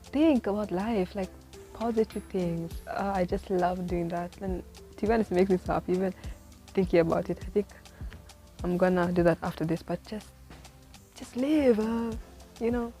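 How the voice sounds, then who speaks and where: A woman's voice: medium pitch, normal speed, dark tone, soft background music. Chatty, rambling twenty-year-old woman. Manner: chatty, rambling, full of "like" and "you know"; laughs often at herself. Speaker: vlogger giving life advice. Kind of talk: casual rambling monologue to camera. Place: in the city where she lives.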